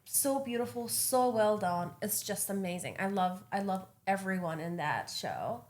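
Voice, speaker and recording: A woman's voice: mid-range voice, lively intonation, measured pace, a little mellow. A woman, a native Farsi speaker, chatting as a podcast guest, enthusiastic and giggly. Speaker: actress and filmmaker, guest. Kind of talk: casual chat.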